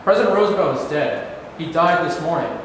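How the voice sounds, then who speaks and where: A man's voice: on the high side, lively intonation, brisk, close to an everyday voice. Man acting urgent aide, distant echoey hall. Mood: urgent, formal. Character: urgent, dutiful presidential aide bringing grave news. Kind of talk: staged play reading. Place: large room at a live staged reading. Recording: echoey hall, far off, noisy.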